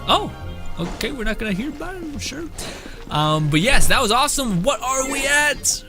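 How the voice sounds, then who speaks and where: A man's voice: very high voice, big pitch swings, normal speed, over music. A man giving casual gameplay commentary as a streamer, informal and chuckling. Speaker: video game streamer.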